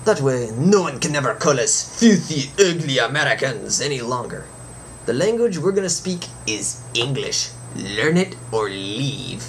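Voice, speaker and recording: A man's voice: medium pitch, big pitch swings, unhurried. Man playing a swooping, theatrical superhero. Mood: mock-serious, emphatic. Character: cocky adventuring male superhero chatting to fans. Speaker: show host in character. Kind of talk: comic monologue, reading a letter aloud. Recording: background noise behind the voice.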